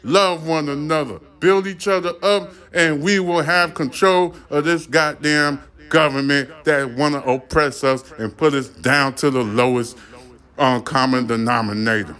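A man's voice: fairly high pitch, steady tone, a little slow, fairly bright tone, clear, resonant tone. A man hosting his own podcast, giving a blunt, profane, ranting opinion monologue. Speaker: podcast host.

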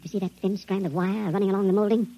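A man's voice: flat delivery, very fast. An actor in an old radio drama playing a resourceful, practical shrunken man, with his voice sped up to sound tiny. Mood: determined. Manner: practical, urgent. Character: resourceful, determined five-inch shrunken man. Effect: sped-up, pitched-up tiny voice. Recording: noisy, dull vintage radio recording.

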